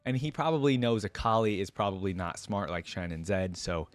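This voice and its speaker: A man's voice: fairly low pitch, lively intonation, brisk, no room sound. A man reading a story aloud and breaking in with casual, enthusiastic commentary as a reaction video creator. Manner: casual, enthusiastic asides with frequent "like".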